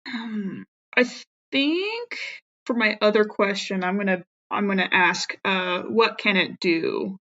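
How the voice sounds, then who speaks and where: A woman's voice: medium pitch, lively intonation, takes it slowly, pushed and bright. Playful woman, slightly muffled audio. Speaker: tabletop game player. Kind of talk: casual game-table chat.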